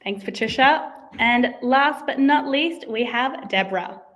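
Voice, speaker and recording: A woman's voice: on the high side, measured pace, a bit bright. A young woman hosting an online pageant livestream, with an upbeat, formal presenter manner. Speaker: host. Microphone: video call.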